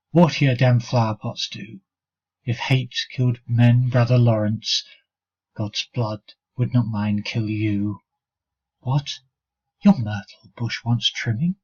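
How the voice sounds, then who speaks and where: Man playing a husky, slow-spoken spiteful monk. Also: medium pitch, steady tone, a little mellow. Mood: seething. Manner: sneering, sarcastic, growling asides. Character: spiteful, jealous monk seething with hatred for a fellow brother. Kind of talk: dramatic poetry recitation, 19th century monologue. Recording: dull lo-fi recording.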